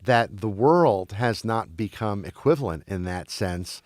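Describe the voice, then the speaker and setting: A man's voice: fairly low pitch, expressive, unhurried, no room sound, clean studio sound. A man who grew up in Belgium, talking as a guest in a casual conversation, often stopping to restart and repeat his words. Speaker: interview guest.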